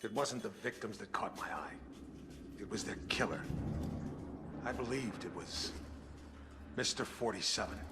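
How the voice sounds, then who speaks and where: An actor playing a shrewd, grave American intelligence chief who speaks in a measured, ominous, deliberate way in a game cutscene. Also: male voice, lowish voice, lively intonation, heavy background noise. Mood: measured, intrigued. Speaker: intelligence official character in a video game cutscene. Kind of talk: dramatic game cutscene dialogue. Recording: dramatic music behind the voice.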